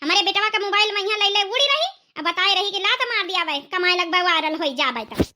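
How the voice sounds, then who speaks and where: A woman's voice: very high-pitched, animated, fast, background noise. A woman voicing a scolding, grumbling old village mother-in-law in an Awadhi accent, for a cartoon comedy. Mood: angry grumbling. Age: older. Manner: nagging, complaining.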